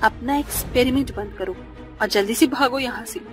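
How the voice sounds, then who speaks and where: A woman's voice: on the high side, moderate pace, over music, natural voice. A woman voicing a romantic, anxious young newlywed wife in a cartoon. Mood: worried. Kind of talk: Hindi cartoon dialogue.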